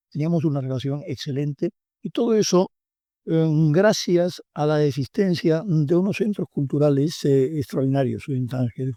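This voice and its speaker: A man's voice: medium pitch, warm tone, dry recording. An older man from Tangier with a Tangier Spanish accent, a book author speaking as a guest in a radio studio interview, reflective and hesitant with many fillers. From Tangier, Morocco.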